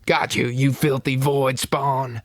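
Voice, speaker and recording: A man's voice: mid-range voice, flat delivery, dry recording, clean studio sound, voice pushed up. A man voicing a menacing, sneering space pirate mercenary in a sci-fi audiobook. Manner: slow, sneering. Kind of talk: sci-fi audiobook character acting.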